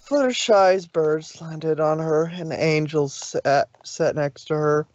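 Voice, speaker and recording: A man reading scripted fan fiction as a storybook narrator, with a measured, dramatic delivery. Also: on the high side, darkish tone, dull audio.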